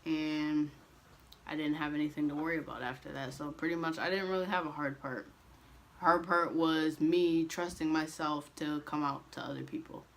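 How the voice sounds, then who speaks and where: A young man chatting casually to camera as a vlogger, relaxed and loose, with frequent ums and restarts. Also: fairly high pitch, moderate pace, vocal fry.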